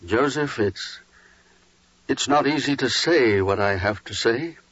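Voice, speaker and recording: A man's voice: medium pitch, measured pace, a bit bright, slightly rough, dry recording. An actor playing a troubled, dutiful older father in a biblical 1940s radio drama, grave and weighty. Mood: troubled, halting. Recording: muffled 1940s radio recording.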